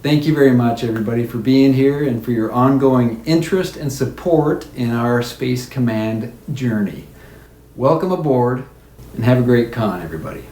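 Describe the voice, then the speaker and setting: A man's voice: mid-range voice, normal speed, mellow, echoey room. A man delivering a prepared, scripted video message thanking supporters. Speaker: actor giving a video message.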